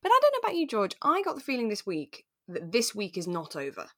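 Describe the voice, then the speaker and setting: A British woman co-hosting a podcast in relaxed, casual chat about a radio drama. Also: mid-range voice, fast talker, dry recording, studio quality. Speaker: podcast co-host.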